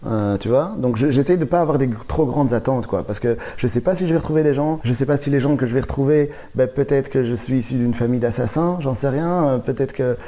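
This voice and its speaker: A man's voice: medium pitch, fast, hardly any pauses, dark tone. A man, a comedian telling his life story as a guest in a casual interview, laughing often and restarting his sentences. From born in Rwanda, raised in Belgium. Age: 40s.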